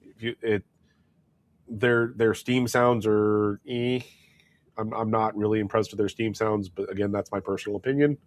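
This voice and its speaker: A man's voice: fairly low pitch, steady tone, moderate pace. A man co-hosting a casual hobby chat, sounding plugged up from a head cold and rambling with many fillers and restarts. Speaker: co-host. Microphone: wireless clip-on mic.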